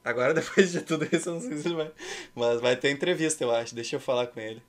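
A man's voice: mid-range voice, very fast. A man in his thirties with a Brazilian accent, chatting casually and ironically as he hosts and commentates a game stream. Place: indoor room at home.

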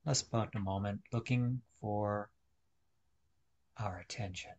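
A man leading a guided meditation, speaking deliberately in short instructional phrases with pauses. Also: on the low side, fairly even intonation, darkish tone, lo-fi sound.

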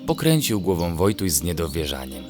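A man gently narrating a scripted children's bedtime story in a measured, descriptive way. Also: low voice, sing-song. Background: music.

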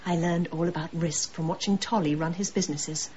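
Actress as a scornful villainess, slightly muffled. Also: female voice, fairly low pitch, steady tone, a little fast, a little mellow, slight background noise, close to an everyday voice. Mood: sardonic. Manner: mocking, scornful. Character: bitter, sardonic murderous wife holding people at gunpoint. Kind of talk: radio drama acting.

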